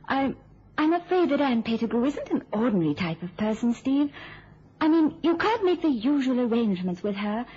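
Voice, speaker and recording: A conscientious young nurse in a vintage radio drama. Her voice is high and very expressive, earnest and a little hesitant. The old recording sounds dull. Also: normal speed, breathy edge. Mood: cautious. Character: conscientious, uneasy young nurse, devoted girlfriend.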